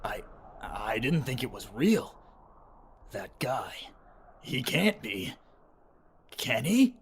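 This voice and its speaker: A man playing an earnest, anxious young hero in an animated fantasy war story, speaking in an emphatic, halting way. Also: medium pitch, background noise. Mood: awed disbelief. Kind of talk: animated fantasy character acting.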